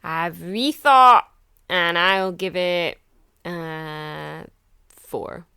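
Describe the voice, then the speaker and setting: An actress playing a cheeky young hitchhiker, teasing in a playful deadpan way, in an audio drama. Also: female voice, fairly low pitch, extremely slow, creaky, smooth, no room sound, full character voice. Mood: playful, mocking.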